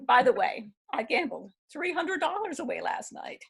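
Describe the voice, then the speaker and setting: An actress reading the part of a defiant seventy-one-year-old divorcee who laughs at old age, in a readers theatre play. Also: female voice, high voice, very animated, a little slow, gravelly, fairly bright tone, husky, heavily acted. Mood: gleeful. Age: 70s. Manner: laughing, brash and amused. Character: spirited elderly divorcee, mischievous and defiant. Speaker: actor.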